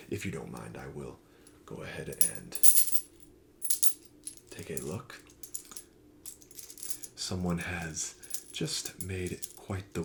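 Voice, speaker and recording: A man playing a kindly, courtly pumpkin seller in a wizarding village, soft-spoken and often whispering in an ASMR roleplay, with flowery sales patter. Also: low voice, vocal fry, slight background noise, heavily acted. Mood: pleased.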